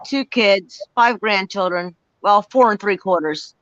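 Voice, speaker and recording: A woman's voice: medium pitch, measured pace, a bit bright, no echo. Emphatic woman in her sixties, dry audio. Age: 60s. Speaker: co-host. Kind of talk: live-stream ghost hunt chat. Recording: dry, dull lo-fi stream audio.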